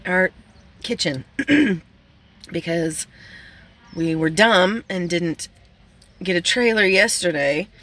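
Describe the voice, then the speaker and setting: A woman's voice: lowish voice, fairly bright tone. A woman talking casually and informally, explaining things as she goes in a vlog style. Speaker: vlogger documenting a DIY school bus conversion. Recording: clear ambient background noise.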